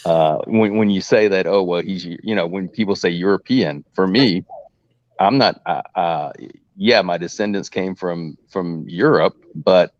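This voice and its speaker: Man panelist, very deep voice, halting casual talk, dry muffled audio. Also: no room sound. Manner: halting, casual, many fillers. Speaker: panel guest. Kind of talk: panel discussion.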